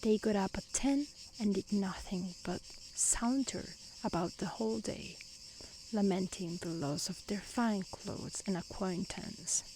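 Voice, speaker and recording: A woman reads a storybook very gently in a soft-spoken ASMR style. Her delivery is slow and hushed, often near a whisper, with plenty of vocal fry. Faint cricket and insect sounds sit behind her voice. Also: medium pitch, background noise. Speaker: storyteller reading fairy tales aloud. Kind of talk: soft-spoken ASMR fairy-tale reading. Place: outdoors in nature.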